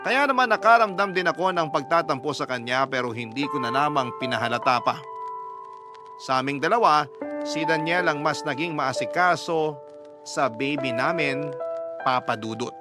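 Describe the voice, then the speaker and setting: An actor playing a sentimental letter writer who narrates married family life in a reflective, confessional way. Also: male voice, on the high side, expressive, moderate pace, natural voice. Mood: wistful. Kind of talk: dramatized first-person confessional narration. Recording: clear music bed behind narration.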